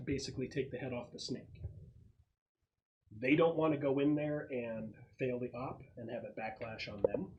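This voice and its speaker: A man's voice: medium pitch, darkish tone, rough recording. A man narrating a tabletop roleplaying game as game master, explaining the scenario in a conversational way.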